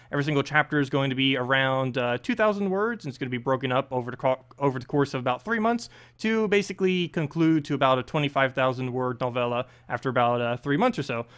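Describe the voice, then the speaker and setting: A man's voice: medium pitch, fairly bright tone, clear, resonant tone. A man hosting a channel and reading a story aloud in an amateur, casual way, stumbling now and then. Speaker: channel host and story reader.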